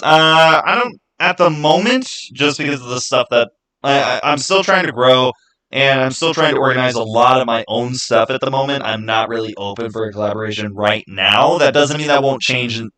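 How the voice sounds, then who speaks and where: Bright-voiced man chatting casually on stream. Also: mid-range voice, poor audio. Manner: informal, opinionated, halting and repetitive. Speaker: livestream host. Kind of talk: casual livestream chat answering viewer comments.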